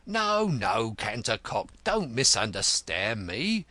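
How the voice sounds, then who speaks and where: A man's voice: fairly low pitch, big pitch swings, slow, bright, forceful tone, no room sound, heavily acted. A man voicing a meek, kindly little male cobbler in an audiobook, anxious and placating. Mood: pleading, anxious. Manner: placating, pleading. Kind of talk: audiobook character voice.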